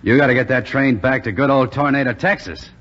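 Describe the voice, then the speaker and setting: An actor playing a steady, good-hearted airman buddy in a 1940s radio drama. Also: medium pitch, brisk, husky. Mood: reassuring. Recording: muffled, noisy, echoing 1940s radio recording.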